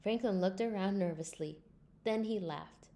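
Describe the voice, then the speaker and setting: A woman reading a scripted children's storybook aloud in an expressive way. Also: mid-range voice, normal speed. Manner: expressive, acts out character lines. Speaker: storybook reader.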